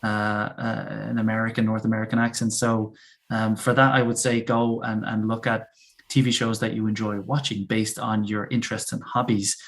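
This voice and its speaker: Low-voiced chatty male English teacher. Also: flat delivery, moderate pace, a bit bright. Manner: chatty, repeats words as he thinks aloud. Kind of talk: casual English teaching chat.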